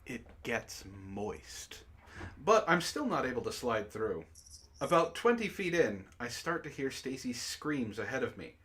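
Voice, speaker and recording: A man's voice: medium pitch, very animated, unhurried, a bit bright. A man hosting a book club, reading prose aloud dramatically and breaking off into exasperated asides.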